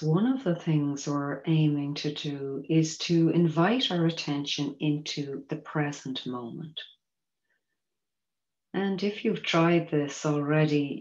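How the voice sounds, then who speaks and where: Low-voiced woman guiding a meditation. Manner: gentle, measured, invitational. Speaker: mindfulness teacher guiding a body scan. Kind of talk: guided meditation instruction. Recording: roomy echo, dull muffled sound.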